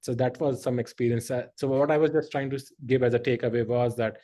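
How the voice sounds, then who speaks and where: A man's voice: medium pitch, fairly even intonation, quick pace, mellow. A middle-aged man, hosting a podcast and telling stories in a rambling, filler-heavy way with lots of laughter. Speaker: podcast host. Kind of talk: casual conversational storytelling.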